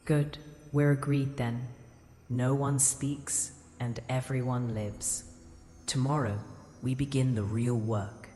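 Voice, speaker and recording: A woman's voice: extremely low pitch, fairly even intonation, slow pace, dark tone, slight background noise. A woman playing an ancient goddess in a mortal body, grave, formal and portentous, in a fantasy audio drama. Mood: solemn, commanding. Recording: long echoing reverb with music.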